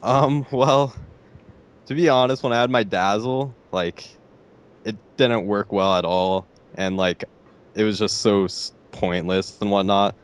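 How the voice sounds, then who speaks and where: A teenage boy with a deep voice, answering interview questions hesitantly and filling pauses with "like" and "I don't know.". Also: steady tone, clear, resonant tone. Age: teens. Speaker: interviewee, gamer.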